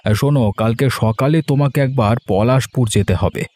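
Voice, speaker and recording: A man's voice: mid-range voice, a little fast, a little mellow, lightly acted. An actor playing a firm, reassuring older father who runs the family business in a cartoon story.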